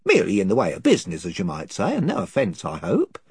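A man's voice: low-pitched, huge pitch swings, fast, vocal fry, breathy edge, no room sound, studio quality, full character voice. A man reading an audiobook, voicing a long-winded, folksy male chief of invisible creatures. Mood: menacing politeness. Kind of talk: audiobook character voice.

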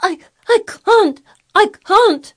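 A hysterical, sickly young boy from a storybook, played by a woman narrator. His very high voice comes in choked gasps and wails, stammering with spoilt fright. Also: lively intonation, takes it slowly, exaggerated voice. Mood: sobbing, panicked. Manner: gasping, choked, wailing, stammering. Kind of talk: dramatised storybook reading.

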